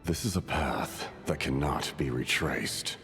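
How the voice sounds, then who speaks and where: A man playing a grave mystical elder in a video game, giving warnings in a slow, ominous, formal manner. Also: a bass voice, fairly bright tone, faint music behind, full character voice. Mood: ominous, solemn.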